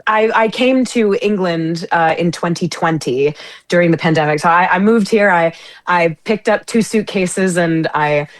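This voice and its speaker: A woman's voice: lowish voice, normal speed. A woman, a singer and stage performer, chatting as a guest on a radio interview in a bubbly, effusive way, full of fillers and restarts. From United States. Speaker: guest, opera singer and musical theatre performer. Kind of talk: casual interview chat.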